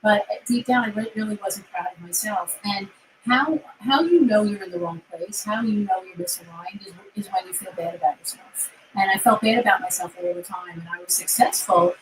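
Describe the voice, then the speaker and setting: A woman's voice: medium pitch, normal speed, slight background noise, low-quality recording. A middle-aged woman with a New York accent, hosting a casual interview chat and speaking in an upbeat, emphatic way. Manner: upbeat, emphatic, repeats words for stress. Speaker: host, career coach.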